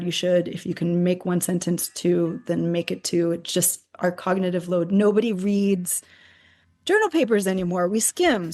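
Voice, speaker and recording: A middle-aged woman speaking as an interview guest about academic publishing, animated and emphatic with occasional restarts. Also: mid-range voice. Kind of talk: casual interview talk.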